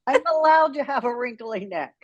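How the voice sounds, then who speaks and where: A woman in her sixties talks casually as a guest on a show. Her pitch moves around in a lively way from a medium base. The recording is dry and a little dull. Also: normal speed, dry recording. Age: 60s. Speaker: interview guest, author. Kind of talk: casual chat. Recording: slightly dull, lo-fi audio.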